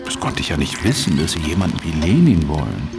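A glib, promise-making political operator for radio. The deep voice is gravelly and animated, with a breezy, assured colloquial tone. Music plays underneath. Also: male voice, a little fast, heavily acted. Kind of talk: German radio drama acting.

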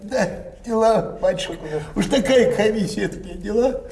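An actor playing a weary, genial old provincial landowner in an audio play, folksy and full of old-fashioned courtesy. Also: male voice, high-pitched, normal speed, gravelly, a little mellow. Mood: genial complaint. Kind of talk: theatrical audio play acting. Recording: echoey, distant stage pickup, noisy.

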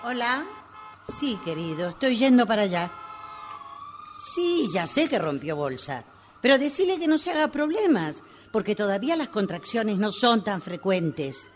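A woman's voice: mid-range voice, sing-song, measured pace, faint music behind. A woman acting a caring helper who coaches a birth by phone, in an Argentine accent, for a radio drama. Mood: reassuring. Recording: amateur workshop recording, sirens and traffic noise.

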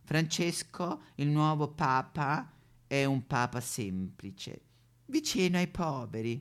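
A man's voice: on the high side, expressive, slow pace, voice pushed up. A man playing an elderly retired German pope in a satirical stage monologue, with a German accent, mock-solemn sing-song preaching and petulant complaints. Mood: wistful, deadpan. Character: elderly retired German pope, whiny, pious but foul-mouthed.

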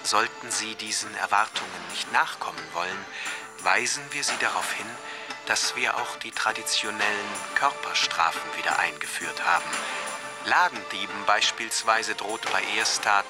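An actor playing a smug tour-guide voice in a radio drama, speaking formally and pompously with a cool threat beneath it. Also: male voice, lowish voice, normal speed, lightly acted. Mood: coolly menacing. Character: smug, menacing male tour-guide voice on audio tape. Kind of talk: scripted propaganda narration in radio drama. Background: music.

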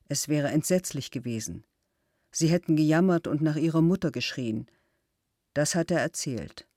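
An actor reading a witness statement that retells a friend's war story, calm and measured. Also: male voice, a little high, no room sound, studio quality, close to an everyday voice. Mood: grave, retelling.

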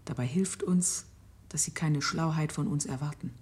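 A woman acting a contemptuous, cunning enslaved plotter in a radio drama, with a breathy, deliberate, conspiratorial delivery. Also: close to an everyday voice. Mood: resolute, conspiratorial. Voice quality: dark, low, often breathy.

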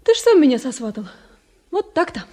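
An actress playing a teasing, sharp-tongued young village woman in a radio play, saucy and mocking. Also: high-pitched, very animated, fairly quick. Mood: teasing, indignant. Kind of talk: Soviet radio play acting.

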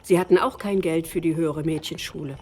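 A woman narrating historical facts for a radio documentary in a factual, even manner. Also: sits low, brisk.

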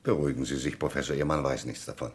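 An actor playing a shrewd, commanding police commissioner in a formal, probing, theatrical crime radio play style. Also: male voice, extremely low pitch, fairly even intonation, very fast, husky. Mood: stern, formal. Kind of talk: mid-century German crime radio play acting.

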